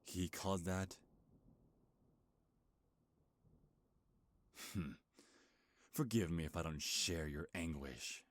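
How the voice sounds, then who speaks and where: A man playing an anguished, bitter, centuries-old cursed beast in a dramatic ASMR roleplay, with a gravelly, husky growl and a slow, brooding, halting delivery. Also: low-pitched, no room sound. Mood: brooding, suspicious.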